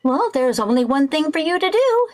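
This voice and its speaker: An actress playing a sarcastic housewife who makes dry wisecracks, in vintage radio sitcom style. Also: female voice, sits high, very animated, moderate pace, darkish tone. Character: sarcastic young housewife bristling at her mother-in-law.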